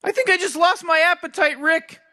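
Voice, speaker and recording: A man's voice: very high voice, fairly quick, sharp tone, heavily acted. A man voicing a nervous, timid teenage boy sidekick who stammers and whines. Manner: stammering, anxious, whiny. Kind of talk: comedic commentary on game characters.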